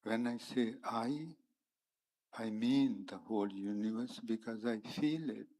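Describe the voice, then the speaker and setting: A man whose first language is Punjabi, giving a spiritual talk at a conference in a slow, meditative way, with many pauses between phrases. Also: fairly low pitch, dark tone, low-quality recording. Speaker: conference speaker. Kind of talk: spiritual talk mixed with sung verse. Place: conference hall.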